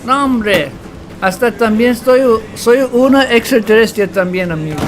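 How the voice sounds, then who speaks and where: A man's voice: sits high, measured pace. A man from Texas, chatting casually on a game livestream in a slangy, joking way. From Texas, United States. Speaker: game streamer. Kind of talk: casual bilingual livestream chat.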